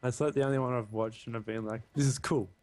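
A man's voice: medium pitch, steady tone, fast talker, a little mellow, dry recording. A young man chatting during co-op gameplay, rambling and full of "like". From Australia. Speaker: co-op gaming partner. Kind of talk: casual co-op gameplay chat.

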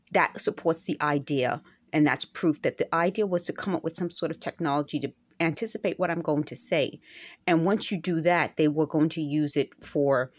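A middle-aged woman talking straight to her phone camera at home, earnest and insistent, returning again and again to her points. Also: sits low. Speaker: vlogger. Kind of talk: direct-to-camera monologue. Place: indoor room at home. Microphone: phone camera held close.

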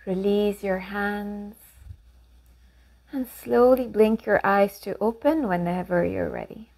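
A woman leading a guided meditation, giving gentle, measured instructions with pauses between phrases. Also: medium pitch, creaky.

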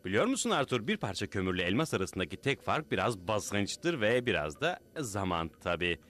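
Big dumb earnest superhero, cartoon style, bright voice, swoops around, pompous speeches. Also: male voice, lowish voice, very animated, fairly quick. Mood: grand musing. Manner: declamatory, grandiose. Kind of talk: dubbed cartoon hero acting.

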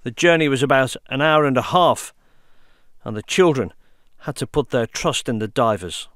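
Brief: British male reporter, news voice-over, dry studio sound, measured. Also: mid-range voice, lively intonation, fairly bright tone, no echo.

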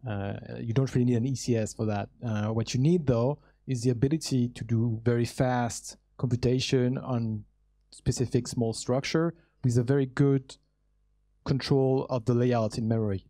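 A man's voice: medium pitch, fairly even intonation, moderate pace, mellow. A young man speaking into a handheld mic as a panelist in a large hall, giving an earnest technical explanation with frequent fillers. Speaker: panelist, startup co-founder. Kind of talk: conference panel answer.

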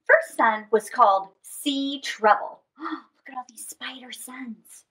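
A middle-aged woman hosting a children's story time, reading and chatting in an animated, theatrical way. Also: sits high, slow pace, low-quality recording. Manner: animated, theatrical, with dramatic gasps and stretched words. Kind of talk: expressive picture-book read-aloud and chat to children. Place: small indoor space with draped fabric backdrop.

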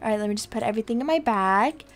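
Woman playing a breezy scheming mother, high voice. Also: expressive, rapid-fire. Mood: smug, hurried. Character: brazen, self-satisfied kidnapping birth mother, scheming and fussy. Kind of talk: improvised video game roleplay.

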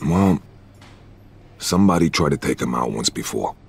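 An actor playing a hard-boiled American mob hitman, cold-blooded, terse and deadpan, with a rough, deep voice. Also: moderate pace, heavily acted. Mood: grim, confident.

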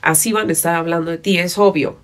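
A woman's voice: lowish voice, fairly even intonation, quick pace. A woman in her thirties with a Mexican Spanish accent, talking casually as a podcast guest into a studio microphone. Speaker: podcast guest, fitness instructor. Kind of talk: casual conversational interview. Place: small padded podcast studio. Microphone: studio microphone on boom arm.

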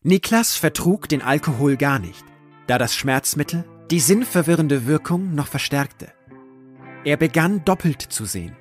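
A man narrating a scripted audio drama in a measured, literary storytelling manner. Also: on the high side, expressive, faint music behind.

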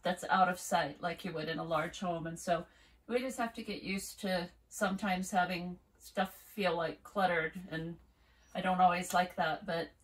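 A woman's voice: on the low side, fairly even intonation, moderate pace. Candid older woman, a bit off-mic. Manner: candid, chatty interjections. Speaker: co-host, homeowner. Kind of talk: casual house tour talk. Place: small wood-paneled tiny house indoors. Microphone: camera several feet away. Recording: slightly distant with faint hiss.

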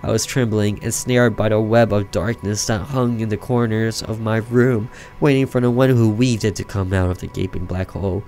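Low-voiced man narrating horror, music behind. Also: fairly even intonation, dark tone. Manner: slow, theatrical, suspenseful. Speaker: streamer reading a visual novel aloud. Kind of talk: dramatic narration of a horror story.

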